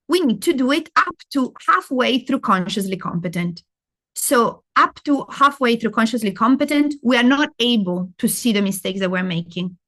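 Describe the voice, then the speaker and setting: A woman's voice: fairly high pitch, fairly bright tone. A middle-aged woman from Italy with an Italian accent, giving a live masterclass and explaining step by step, asking and answering her own questions. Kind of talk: interactive talk on learning.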